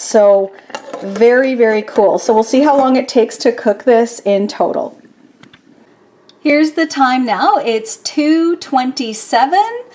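A woman's voice: a little high, a little mellow. Chatty woman cooking at her stove. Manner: chatty, upbeat, thinks aloud with many ums. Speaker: home cooking vlogger. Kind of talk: casual cooking demonstration. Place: home kitchen at the stove.

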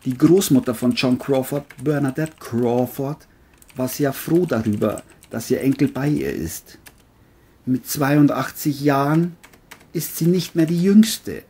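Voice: mid-range voice, measured pace, mellow, slightly rough. A narrator reading a scripted crime audio drama, telling the story between the characters' lines.

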